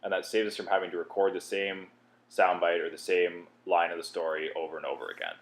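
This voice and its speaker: A man with an informal, explanatory manner, talking through a software tutorial step by step. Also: low voice, steady tone, a little fast. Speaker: tutorial presenter.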